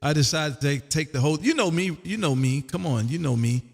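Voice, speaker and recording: Loud excitable guy doing ham radio chat, kinda high voice, folksy, big echoey reverb. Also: brisk. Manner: loud, exuberant, folksy, repeats himself. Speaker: amateur radio operator hosting a live stream. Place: home radio room. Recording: roomy, long reverb.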